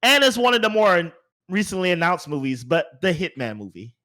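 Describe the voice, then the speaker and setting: A man's voice: high-pitched, sing-song, a little fast, pushed and bright. A man hosting a podcast in a casual, conversational way. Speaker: podcast host.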